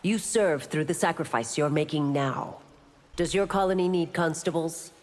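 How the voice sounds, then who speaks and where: Woman as low-voiced, stern law enforcer. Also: moderate pace, a little mellow, lightly acted. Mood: composed. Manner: composed, formal, firm. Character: stern, dutiful female law enforcer warrior. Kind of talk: video game character dialogue. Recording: reverberant, very noisy game audio.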